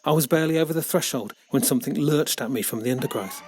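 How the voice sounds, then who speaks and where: A man reading a children's story as scripted narration, expressive and dramatic in his delivery. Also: mid-range voice, fast talker, a little hiss or hum. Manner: expressive, dramatic character shifts. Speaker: author reading own book.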